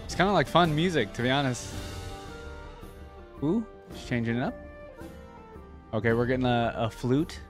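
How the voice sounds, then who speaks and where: A man's voice: mid-range voice, expressive, moderate pace, long pauses, soft background music. A man giving casual reaction commentary as a host, thinking aloud in short, informal remarks.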